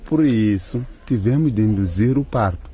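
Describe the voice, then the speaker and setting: A man playing a calm, reassuring hospital doctor in a radio drama, measured and encouraging. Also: lowish voice, slightly rough.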